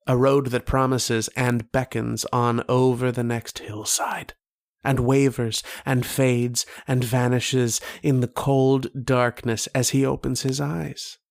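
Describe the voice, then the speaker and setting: A man playing a haunted, wistful narrator of a recurring dream in a radio drama, speaking poetically with long pauses. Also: medium pitch, creaky, no echo, studio quality. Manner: slow, poetic, brooding delivery with long pauses. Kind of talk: dramatic first-person radio horror narration.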